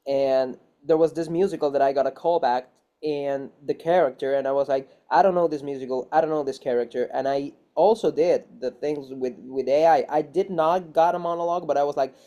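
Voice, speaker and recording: A man's voice: on the high side, a little fast, mellow, lo-fi sound. A man chatting casually as an actor being interviewed, with non-native English grammar and phrases repeated for emphasis. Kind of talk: casual chat.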